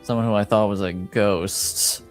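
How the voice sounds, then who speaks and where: Man as a slow, guarded tavern informant. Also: on the low side, fairly even intonation, faint music behind, pitched below the performer's own voice, lightly acted. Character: guarded, shady male informant in a fantasy tavern.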